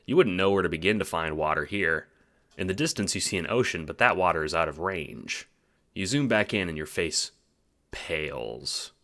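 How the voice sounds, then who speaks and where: A man's voice: sits low, lively intonation, moderate pace, fairly bright tone. A man delivering scripted audiobook narration in a wry, theatrical, playful way.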